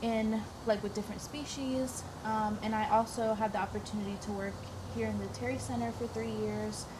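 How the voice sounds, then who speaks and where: A woman's voice: mid-range voice, steady tone, normal speed. Young woman, hesitant, far from mic. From northeastern United States. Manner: hesitant, many "um"s. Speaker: veterinary student. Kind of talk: casual group interview. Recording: distant mic with clear background noise.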